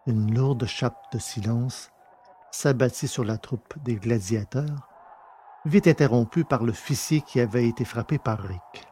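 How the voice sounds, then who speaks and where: Male narrator for adventure fiction, mid-pitched with a husky edge, scripted and dramatic delivery. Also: unhurried, warm tone. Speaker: audiobook narrator.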